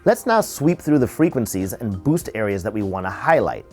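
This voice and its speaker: A man presenting an audio tutorial in an instructional, measured, step-by-step way. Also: fairly low pitch, very animated, darkish tone, faint music behind. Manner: explanatory, measured step-by-step delivery. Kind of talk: instructional narration.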